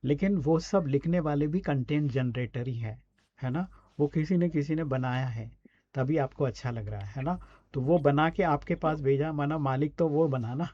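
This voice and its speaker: A man's voice: fairly high pitch, normal speed, lo-fi sound. A man with an Indian accent giving a conversational, instructive lesson in a presenter's role, often adding short check-in tags. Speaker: radio presenter giving a speaking and writing lesson. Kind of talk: conversational teaching talk.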